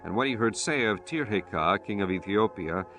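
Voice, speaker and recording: Low-voiced man narrating scripture. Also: lively intonation, normal speed. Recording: music under the voice.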